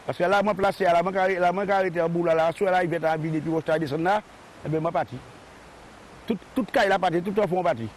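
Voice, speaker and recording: A man's voice: high voice, steady tone, quick pace, slight background noise. A man from a group of friends, talking in a rambling, agitated way. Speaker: resident. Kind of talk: interview.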